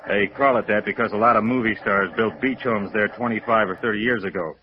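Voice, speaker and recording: An actor playing a steady, plain-spoken American sheriff's deputy in a 1950s radio drama, talking in an unhurried way. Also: male voice, lowish voice, lightly acted. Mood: matter-of-fact. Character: laconic, steady male sheriff's deputy in a beach town. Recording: dull, noisy vintage radio recording.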